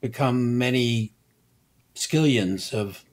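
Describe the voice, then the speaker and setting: An older man answering interview questions as a scientist, explaining in a measured, halting way with frequent pauses. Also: mid-range voice. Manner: measured, halting, many fillers. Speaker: guest scientist.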